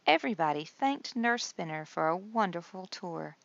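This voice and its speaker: A woman's voice: fairly low pitch, lively intonation, normal speed, vocal fry, a bit bright, highs cut off. A woman narrating a children's storybook as a read-aloud. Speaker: storybook reader.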